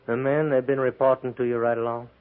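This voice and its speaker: A tinny vintage radio recording of a male actor as a defiant captured Confederate cavalryman. He is an American man of middling pitch, sullen and blunt, with rough country grammar and deep bitterness. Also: little pitch movement, fast, lightly acted. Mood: challenging. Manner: sullen, blunt, folksy ungrammatical frontier speech. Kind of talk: 1950s radio drama acting. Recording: tinny, band-limited 1950s radio recording.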